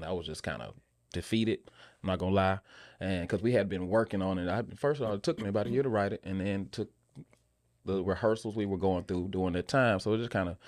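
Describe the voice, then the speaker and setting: Man, low pitch, casual conversational delivery, interview guest. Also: darkish tone. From Memphis, United States. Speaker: interview guest, actor and filmmaker.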